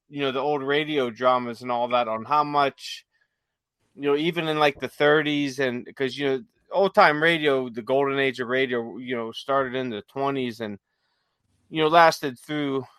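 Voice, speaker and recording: A man chatting casually as a podcast host, halting and peppering his talk with filler phrases. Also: mid-range voice, steady tone, fairly bright tone, smooth, no echo. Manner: halting, peppered with you know. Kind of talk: casual chat.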